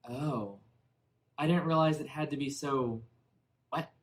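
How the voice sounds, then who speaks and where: A man's voice: a little high, slow, voice pushed up. A man voicing a meek but cheeky young orphan boy in a storybook reading. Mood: wary, innocent.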